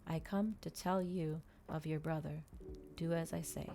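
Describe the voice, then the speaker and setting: A woman voicing a solemn, eloquent male vampire in a measured, formal storybook reading. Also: sits low, fairly even intonation, gravelly, dark tone, soft background music. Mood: grave, commanding.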